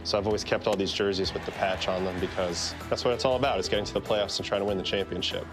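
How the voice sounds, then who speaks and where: Low-voiced ex-ballplayer reminiscing. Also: male voice, fairly even intonation, quick pace, over music. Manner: easygoing, filler-laden. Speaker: former ballplayer. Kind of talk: casual reminiscing in a promo clip.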